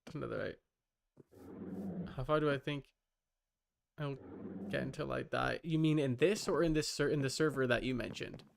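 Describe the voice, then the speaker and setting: Halting man with creaky voice, streaming casually. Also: medium pitch, slight background noise. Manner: halting, rambling, muttering to himself. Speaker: livestreamer. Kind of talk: casual gameplay chat with viewers.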